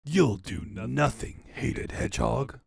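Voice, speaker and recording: Man as a gloating, slow robot tyrant. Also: mid-range voice, lively intonation, creaky, crowd noise, full character voice. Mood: menacing. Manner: menacing, grandiose. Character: gloating robot overlord villain, male, contemptuous tyrant. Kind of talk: comic-book fandub cartoon villain acting.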